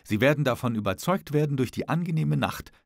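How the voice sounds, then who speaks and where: A man reading the part of a proud, smooth-talking young gallant in a formal, insistent audiobook manner. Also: medium pitch, expressive, fairly quick, dry recording, clean studio sound, voice pushed up. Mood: courtly, teasing.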